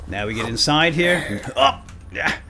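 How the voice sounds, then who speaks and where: A man's voice: a little high, huge pitch swings, measured pace, vocal fry, noisy background. A man hosting a let's play, commentating playfully and exclaiming often as he talks to himself and to viewers. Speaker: gaming video host.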